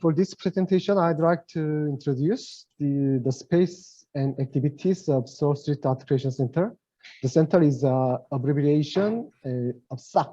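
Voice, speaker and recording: A man's voice: a little high, warm tone. Korean-accented man, dull lo-fi call audio. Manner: halting, searching for words, frequent "uh". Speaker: presenter. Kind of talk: webinar presentation. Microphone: video call.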